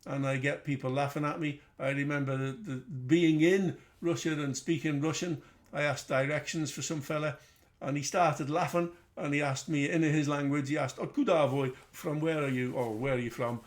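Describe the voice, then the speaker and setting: A man's voice: fairly high pitch, fairly even intonation, moderate pace. A middle-aged man with a Liverpool accent, hosting a casual trading webinar in a chatty, rambling way with self-deprecating asides. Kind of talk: casual live webinar teaching.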